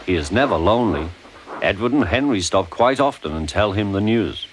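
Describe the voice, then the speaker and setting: A man's voice: lowish voice, moderate pace, a little hiss or hum, poor audio. A man reading a scripted children's story as narrator, expressively acting out the characters. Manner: expressive, acts out character voices.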